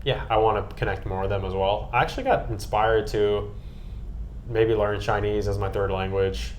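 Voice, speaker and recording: A man's voice: lowish voice, steady tone, moderate pace, a little hiss or hum. An American man chatting casually and earnestly on a podcast, with an enthusiastic manner. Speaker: podcast guest and co-host recapping a trip.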